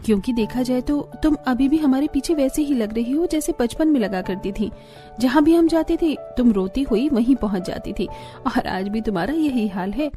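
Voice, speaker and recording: A young elder sister in a family cartoon, voiced by a woman. She is dutiful, caring and teasing, with a high, mellow voice over faint music. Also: lively intonation, normal speed. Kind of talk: cartoon family drama dialogue.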